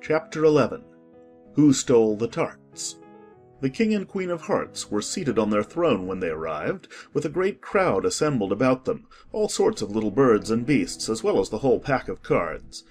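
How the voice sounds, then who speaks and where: A man narrating a dramatized audiobook in an expressive storytelling manner. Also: normal speed, soft background music. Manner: expressive storytelling, varied pitch.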